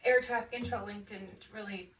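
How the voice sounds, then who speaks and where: A woman in her fifties with an American accent, chatting casually and haltingly as she tells her own story in an interview. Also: medium pitch, fairly even intonation, crowd noise. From United States, Nevada and Michigan. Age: 50s. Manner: chatty, often chuckling, halting. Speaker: interviewee, military veteran. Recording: distant mic, faint background noise.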